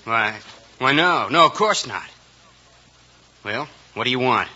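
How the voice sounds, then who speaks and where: An actor playing a resentful, defensive ex-convict cowboy with an American accent, curt in 1950s Western radio style. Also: male voice, medium pitch, animated, measured pace, sharp tone, exaggerated voice. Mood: startled, guarded. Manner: curt, defiant. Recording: muffled old radio recording.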